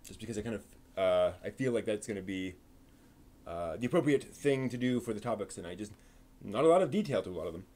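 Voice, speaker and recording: A man's voice: fairly low pitch, expressive, fast talker, a little mellow. Casual man hosting a live review stream.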